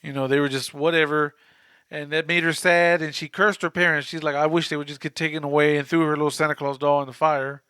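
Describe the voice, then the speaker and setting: A man's voice: on the high side, flat delivery, fast, bright, forceful tone, clear, resonant tone, dry recording, clean studio sound. A young man of Cuban background co-hosting a podcast, retelling a story loosely and casually. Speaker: podcast co-host. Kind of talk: casual chat.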